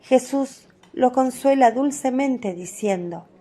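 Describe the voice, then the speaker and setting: Slow, mellow woman narrator. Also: high-pitched. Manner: measured, storytelling. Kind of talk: scripted devotional radio drama narration.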